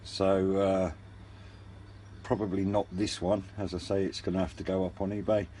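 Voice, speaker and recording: A man with a deep, mellow voice talks through a casual hobby review. He is unscripted and understated, hesitating and rambling as he explains. There is a faint layer of noise behind him. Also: flat delivery, moderate pace. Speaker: hobbyist radio reviewer and channel host.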